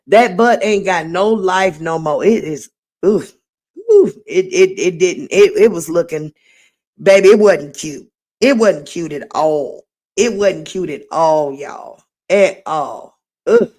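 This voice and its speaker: A middle-aged woman with a slightly raspy voice, hosting a casual late-night livestream and reading comments aloud in a sassy way. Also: on the low side, slow pace, a little mellow. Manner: sassy, drawling, reads chat aloud. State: voice getting raspy. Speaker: livestream host.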